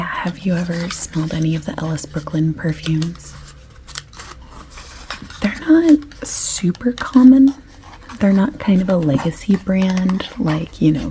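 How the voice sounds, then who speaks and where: A woman doing a soft-spoken ASMR shop assistant roleplay, often slipping into a breathy whisper as she explains things in chatty, unhurried detail. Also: on the low side, a little hiss or hum, rough recording. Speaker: ASMR creator playing a perfume shop assistant. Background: light clicking and tapping of items.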